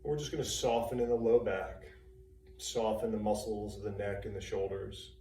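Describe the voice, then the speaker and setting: A man leading a guided meditation as a trainer, giving calm, soothing instructions in short, unhurried phrases with pauses between them. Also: lowish voice. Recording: roomy, distant mic, faint hum and noise.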